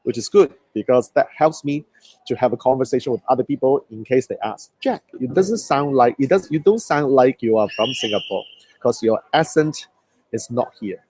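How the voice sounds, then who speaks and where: A man's voice: medium pitch, expressive, normal speed, a little mellow, a little hiss or hum, rough recording. A man from Hong Kong with a Hong Kong accent, a native Cantonese speaker, giving a motivational coaching talk on a live stream and putting questions to his viewers. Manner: motivational, asks the audience questions.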